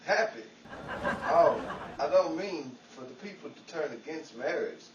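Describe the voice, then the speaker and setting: A man's voice: on the high side, lively intonation, measured pace, distant mic. A man playing a cocky, hapless husband and out-of-work actor in an old-time radio comedy, wisecracking and bickering. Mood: wry, hopeful. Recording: muffled, noisy, echoey, off-mic amateur radio play.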